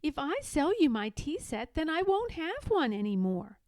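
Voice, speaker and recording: A woman's voice: sits high, sing-song, normal speed, darkish tone, dry recording, clean studio sound, pitched above the performer's own voice. A woman voicing a sly, boastful little girl in a children's storybook, knowing and persuasive. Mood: coy, persuasive. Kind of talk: children's storybook read-aloud.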